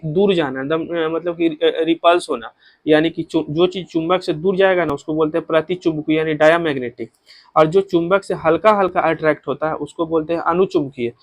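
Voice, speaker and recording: A man's voice: a little high, no room sound. A man with a Bihari accent teaching an exam-prep lesson, repeating key points instructively and mixing in English technical terms. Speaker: exam coaching physics teacher. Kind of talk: explanatory exam-prep lecture.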